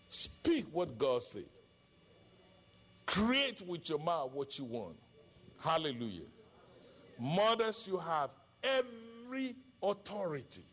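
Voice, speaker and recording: A man's voice: high-pitched, fairly bright tone. A middle-aged man with a Nigerian accent, a native Yoruba speaker, preaching an impassioned sermon as a pastor with emphatic repetition and rhetorical questions.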